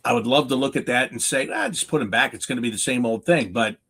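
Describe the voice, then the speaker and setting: A man's voice: medium pitch, fast talker, a bit bright, breathy edge. A North American man giving unscripted sports analysis as a guest, emphatic, often posing questions and answering them himself. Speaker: hockey play-by-play announcer as guest analyst.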